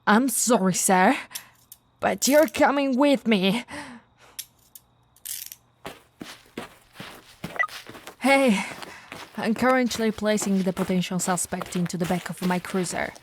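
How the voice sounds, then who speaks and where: A woman's voice: mid-range voice, measured pace, long pauses, noisy background, lightly acted. Woman acting a flirty, firm policewoman. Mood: brisk, in command. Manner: teasing, coaxing, authoritative. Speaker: voice actor in roleplay audio. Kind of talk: ASMR roleplay acting.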